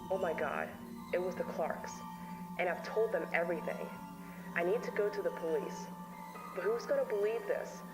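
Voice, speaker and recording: A low female voice tells a horror story as a shaken investigator's taped account, performed by an actress. The delivery is a dramatic monologue, with music clearly playing behind it. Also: animated, moderate pace, natural voice, low-quality recording. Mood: alarmed. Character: shaken woman recounting her investigation on a tape to John.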